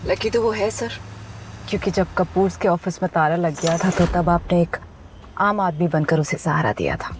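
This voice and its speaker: A woman's voice: fairly low pitch, animated, moderate pace, lightly acted. An actress playing a polite, efficient assistant to a powerful man in an audio drama. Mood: dutiful. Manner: polite, deferential. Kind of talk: Hindi audio drama acting.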